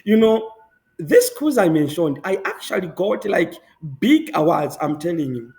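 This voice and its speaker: A young man from Zambia, speaking as an interview guest, animated and emphatic, laughing often and repeating himself for emphasis. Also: high voice, a little slow. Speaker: guest, public health professional. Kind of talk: conversational interview answers.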